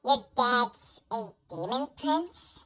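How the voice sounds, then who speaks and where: Woman acting high-voiced shy hellhound girl. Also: expressive, slow, a bit bright, full character voice. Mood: nervous. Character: small young female hellhound, shy girlfriend. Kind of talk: fan comic dub acting.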